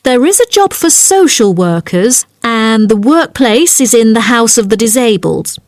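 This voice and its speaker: A woman's voice: on the high side, big pitch swings, unhurried, a little mellow, no room sound, clean studio sound. A woman playing a polite, helpful job centre agent in a scripted language-test dialogue, courteous and businesslike.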